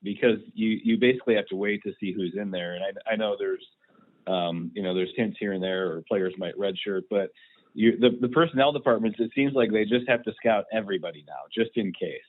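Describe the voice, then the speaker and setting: A man working as a sports reporter, asking questions haltingly at a press teleconference and rewording as he goes. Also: lowish voice, narrow, tinny audio. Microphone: teleconference phone line. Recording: thin phone conference line.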